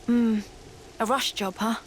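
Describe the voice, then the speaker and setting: An actress plays a feisty young British woman in a video game cutscene. She is blunt and casual in a fairly high voice, over music and rainfall. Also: steady tone, a little slow, natural voice. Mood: curious, wary.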